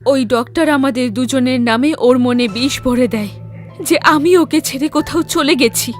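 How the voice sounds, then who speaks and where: Woman acting a high-voiced, eerie ghost mother. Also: unhurried, soft background music. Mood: bitter, grave. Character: beautiful, seductive, sorrowful ghost mother seeking revenge. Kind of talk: horror cartoon dialogue.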